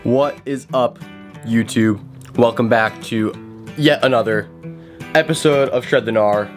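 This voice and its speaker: A man's voice: mid-range voice, measured pace, faint music behind. A 21-year-old man hosting his ski vlog, talking upbeat and straight to his viewers. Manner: upbeat, addresses viewers directly. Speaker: vlog host. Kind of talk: casual YouTube intro and interview.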